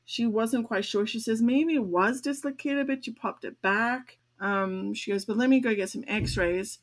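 Chatty grandma laughing through stories. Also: female voice, fairly high pitch, a little slow. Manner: chatty, laughs often while telling stories. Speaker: podcast co-host. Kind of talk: casual chat.

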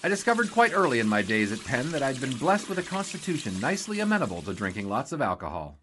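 Man playing glib young reporter, lively swooping delivery. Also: mid-range voice, quick pace, a little hiss or hum. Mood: breezy, wry. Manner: wisecracking, playfully formal. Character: eager, glib young male 1920s sports reporter. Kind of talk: 1920s period audio drama, with narration.

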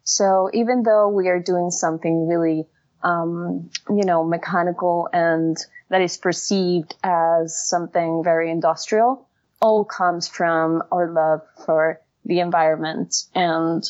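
A woman's voice: on the low side, steady tone, unhurried, darkish tone, no echo, highs cut off. A woman from Venezuela with a Venezuelan Spanish accent, answering interview questions as a founder, speaking hesitantly with many fillers and restarts. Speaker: company founder and guest.

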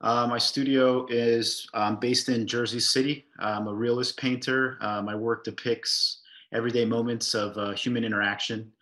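A man speaking as an artist on an online panel, relaxed with frequent fillers, over a video call. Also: mid-range voice, normal speed. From United States. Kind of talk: casual panel talk.

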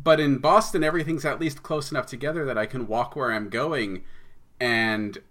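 Bright, clear-cut man chatting. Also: mid-range voice, steady tone, moderate pace. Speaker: podcast guest, audio drama writer. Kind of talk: conversational storytelling and explaining.

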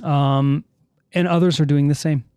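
A man's voice: fairly high pitch, fairly even intonation, a little slow, mellow, clean tone, no echo, studio quality. A man hosting a casual live podcast, rambling and self-correcting as he reads listener chat comments aloud. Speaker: podcast host. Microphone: studio mic with headphones.

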